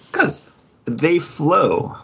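A man with a husky voice and very lively intonation, speaking as a casual podcast co-host. He voices his own reactions mid-sentence. The recording is a little dull. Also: a little high, very slow. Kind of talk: casual chat about comics and TV.

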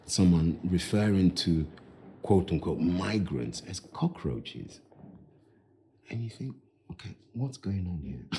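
Very deep, husky man, musing slowly. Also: mellow. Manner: musing, halting, emphatic repetitions and rhetorical questions. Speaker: artist being interviewed. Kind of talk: reflective interview talk.

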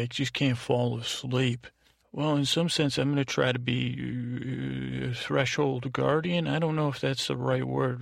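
Gravelly, creaky man rambling softly through a monologue. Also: medium pitch, dry recording, clean studio sound. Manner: meandering, halting, repeats words and drifts off on tangents. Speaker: podcast host. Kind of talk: rambling bedtime podcast monologue. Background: music.

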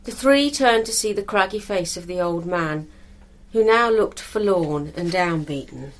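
A woman reading a scripted story as narrator, in a measured, expressive storytelling manner. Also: lowish voice.

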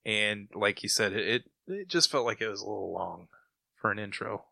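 A man's voice: on the low side, lively intonation, moderate pace, gravelly, pushed and bright, no room sound. A middle-aged man co-hosting a podcast in casual, conversational chat. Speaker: podcast co-host.

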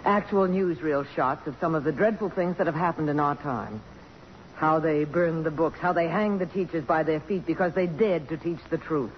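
A woman's voice: sits low, moderate pace, lightly acted. An actress playing a self-possessed, principled middle-aged woman, earnest and articulate, in 1950s radio drama style. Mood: indignant, earnest. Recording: dull, faintly noisy old radio recording.

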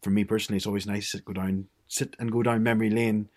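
A man's voice: fairly low pitch, flat delivery, rapid-fire, darkish tone. A man from Ireland co-hosting a podcast, chatting casually and restarting his sentences. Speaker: podcast co-host. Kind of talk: casual chat.

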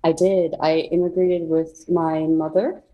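Young woman, from South Korea, interview guest, reflective storytelling, low pitch, mellow tone. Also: flat delivery, a little slow, muffled recording. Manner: reflective, many ums and soft laughs. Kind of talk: personal storytelling.

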